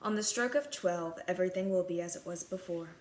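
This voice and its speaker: A woman voicing a gentle fairy godmother from a storybook, soft and comforting in manner. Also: fairly low pitch, fairly quick, reverberant, close to an everyday voice. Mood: gentle warning.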